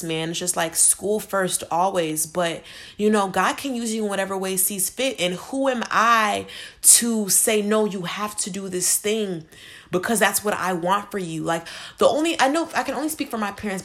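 A woman's voice: medium pitch, fairly bright tone. Earnest young woman. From United States. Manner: earnest, casual, heavy use of "like". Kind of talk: candid personal talk.

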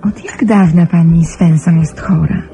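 A woman's voice: on the low side, fairly even intonation, measured pace, warm tone, soft background music. An actress playing an anxious, sentimental young woman in a radio play. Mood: wistful, curious. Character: anxious, sentimental young Polish woman working in a wealthy household.

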